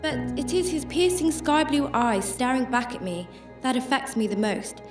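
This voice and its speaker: A woman's voice: high-pitched, big pitch swings, normal speed, distant mic. A woman playing a compassionate, troubled homeless shelter volunteer, narrating and speaking in a radio drama. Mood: reflective, concerned. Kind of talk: first-person radio drama narration and dialogue. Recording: roomy sound with music behind.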